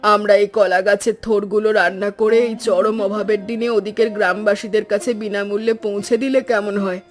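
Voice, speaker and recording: Cartoon village wife, acted by a man: high voice, fretful yet caring, soft music underneath. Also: level tone, brisk, natural voice. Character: anxious, caring village wife named Sarojini. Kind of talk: animated moral story dubbing.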